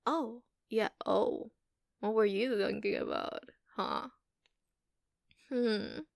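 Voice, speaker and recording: A woman voicing a giant dragon mother in an ASMR roleplay, doting, teasing and softly dominant, cooing and repeating herself with murmured hums, sometimes in whispers. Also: medium pitch, slow, creaky, dry recording, clean studio sound. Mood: doting, indulgent. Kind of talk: monster girl ASMR roleplay. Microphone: close microphone.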